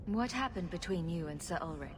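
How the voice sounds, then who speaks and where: A woman's voice: on the low side, expressive, fairly quick, background noise, close to an everyday voice. Woman playing a wry, sarcastic game heroine. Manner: dry, joking. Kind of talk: fantasy video game acting. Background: music.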